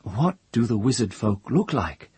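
A man's voice: medium pitch, sing-song, measured pace, a little mellow, breathy edge, no room sound, pitched above the performer's own voice, exaggerated voice. A man voicing a curious, persistent girl in a story, full of questions. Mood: eager curiosity. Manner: inquisitive.

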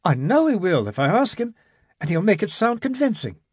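A man's voice: high-pitched, big pitch swings, brisk, husky, dry recording, clean studio sound, voice pushed up, exaggerated voice. A man voicing an eager, self-assured young man in an audiobook reading.

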